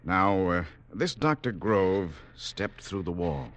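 Skeptical low-voiced senior officer. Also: male voice, animated, a bit bright, full character voice. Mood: doubtful, patient. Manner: hesitant, doubtful, measured. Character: skeptical, patient senior officer questioning a lieutenant. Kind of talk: 1940s radio acting. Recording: noisy old radio recording with clicks.

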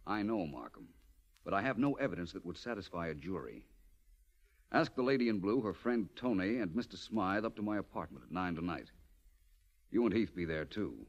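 A low, richly inflected male voice for a suave gentleman sleuth. His delivery is polished, wry and theatrical, in the manner of forties radio mystery. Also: expressive, normal speed, highs cut off. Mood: assured. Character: suave, urbane, self-assured gentleman detective. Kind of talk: 1940s American radio mystery acting.

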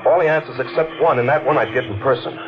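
An actor playing a desperate, scheming killer in a 1940s radio mystery, speaking in hesitant, clipped phrases. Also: male voice, medium pitch, fast, noisy background, lightly acted. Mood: haunted, tense. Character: desperate, scheming man, a guilty killer confessing his crime. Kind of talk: 1940s radio mystery acting, first-person narration. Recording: dull vintage radio recording, loud music.

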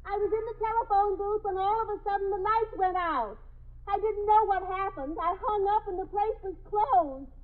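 A woman's voice: very high-pitched, moderate pace. An actress playing an anxious, insistent woman who is locked in, calling out and explaining herself in 1950s radio drama style. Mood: anxious, flustered. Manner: calling out loudly, chattering explanations. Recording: muffled phone-like 1950s radio recording.

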